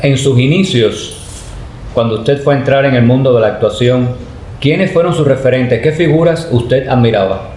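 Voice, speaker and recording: A man's voice: mid-range voice, moderate pace, darkish tone. A man asking polite, formal questions as an interviewer. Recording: roomy, echoey, faint noise.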